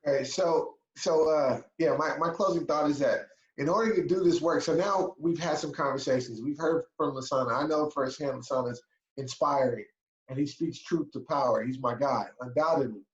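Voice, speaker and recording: An earnest, emphatic man speaks a little high for a man, making his closing point on a video call. His voice comes through roomy and dull, like a muffled computer microphone in an echoing room. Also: moderate pace. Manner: earnest, emphatic, asks for agreement with repeated "right?". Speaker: webinar panelist.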